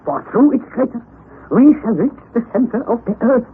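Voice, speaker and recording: An actor playing an excitable, eccentric older scientist uncle in a vintage radio drama, theatrical and declamatory. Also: male voice, very high voice, moderate pace. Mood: lecturing. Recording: tinny, noisy old radio recording.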